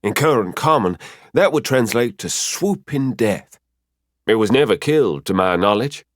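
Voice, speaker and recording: A man's voice: lowish voice, animated, moderate pace, no room sound, clean studio sound, lightly acted. A man voicing a cheerful, teasing female spirit mentor in an audiobook, chatty and fond as she explains things. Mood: explaining. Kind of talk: audiobook character voice.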